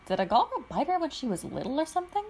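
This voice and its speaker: A woman's voice: high voice, wildly swooping pitch, fast, vocal fry, a little mellow, slight background noise, voice pushed up, full character voice. A woman reading aloud plays a playful young man, an older brother who chats and jokes loosely.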